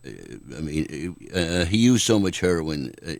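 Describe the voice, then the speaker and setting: An older man, a guest author, answering questions in a measured, thoughtful way on a radio talk show. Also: sits low, animated, dry recording. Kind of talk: radio interview.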